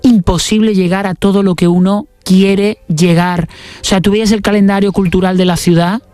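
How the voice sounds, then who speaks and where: A man with an accent from Spain talking casually as an interview guest, telling stories in an expressive, colloquial way. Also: high-pitched, normal speed, dry recording, faint music behind. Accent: Spanish from Spain. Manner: chatty, expressive storytelling with colloquial slang. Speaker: interview guest, pianist and actor. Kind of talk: casual reflective conversation.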